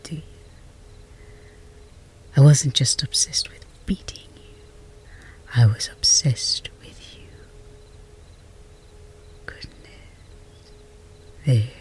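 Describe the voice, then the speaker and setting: A woman playing a flustered, lovestruck chess rival in a romance roleplay ASMR, whispery and intimate, with halting, teasing delivery. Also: a bass voice, darkish tone. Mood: confessing, flustered. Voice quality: breathy, whispery.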